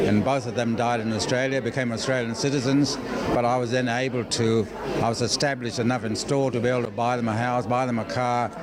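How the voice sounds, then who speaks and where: Older man from Burma in a noisy crowd. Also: mid-range voice. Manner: halting, plain-spoken, colloquial. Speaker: interviewee, volunteer helper of refugees. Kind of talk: informal interview, rambling anecdotes. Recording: very loud crowd noise behind.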